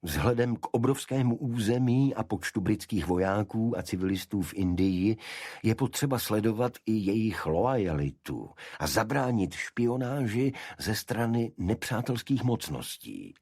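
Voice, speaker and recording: A male narrator puts on a secretive senior official in a detective audiobook. He is formal and evasive, explaining carefully in a low, husky voice with a very dry sound. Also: animated, a little mellow, dry recording. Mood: guarded explaining.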